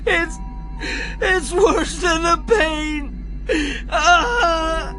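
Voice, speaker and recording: An actor in a radio drama playing a despairing male doctor in pain, halting, anguished and pleading. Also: very high voice, music in the background, exaggerated voice, poor audio. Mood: desperate, pleading. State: in pain, crying out. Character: agonized, despairing male doctor begging for mercy.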